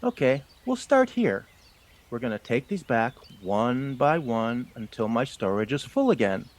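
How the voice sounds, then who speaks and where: A man's voice: medium pitch, very animated, a little slow. A man voicing a seasoned, practical field mouse mentor in an audio drama, instructive and matter-of-fact. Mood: instructive, firm. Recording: faint outdoor ambience with birdsong.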